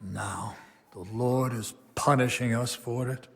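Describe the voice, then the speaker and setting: An actor playing a stern, burdened abbot of a medieval monastery, grave and formal, in a dramatic game cutscene. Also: male voice, medium pitch, fairly even intonation, takes it slowly, creaky, a bit bright. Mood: somber.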